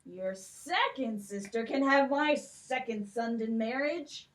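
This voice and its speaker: A woman's voice: fairly high pitch, slow pace. A woman voicing a greedy old king in a puppet show, sly and wheedling. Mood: scheming.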